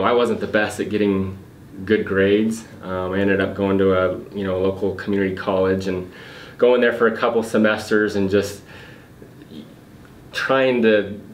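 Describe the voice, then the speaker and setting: A man with a very low voice answers interview questions in an unhurried, halting way, full of fillers and restarts. He is in an echoey office meeting room, picked up from across the table. A faint noise hums underneath. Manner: hesitant, many fillers and restarts. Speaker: guest, real estate investor.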